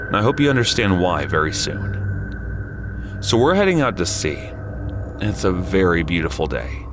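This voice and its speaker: A man's voice: low-pitched, big pitch swings, a little slow, music in the background. A man narrating a scripted first-person story as a storyteller, addressing the listener.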